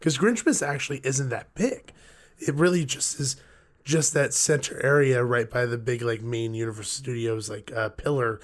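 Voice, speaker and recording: A man co-hosting a podcast with a hoarse, gruff voice, chatting casually and joking around. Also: medium pitch, normal speed. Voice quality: gruff, rough. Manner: joking, rambling, laughs often. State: hoarse, losing his voice. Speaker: podcast co-host. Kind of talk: casual chat.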